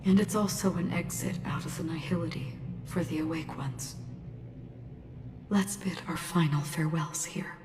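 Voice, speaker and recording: A woman playing a calm, melancholy guide in a video game, speaking in a measured, cryptic and grave way. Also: sits low, noisy background, lightly acted. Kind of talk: dramatic game voice acting.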